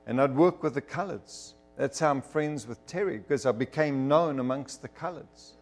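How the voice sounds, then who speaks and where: A man's voice: medium pitch, normal speed, faint music behind. A middle-aged man from Zimbabwe, preaching a sermon as emphatic storytelling with bursts of fervor. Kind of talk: sermon testimony to a congregation.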